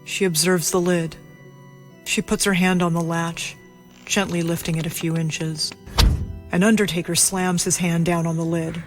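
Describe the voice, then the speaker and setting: A woman's voice: lowish voice, little pitch movement, moderate pace, faint music behind. A woman reading scripted narration for a horror audio drama.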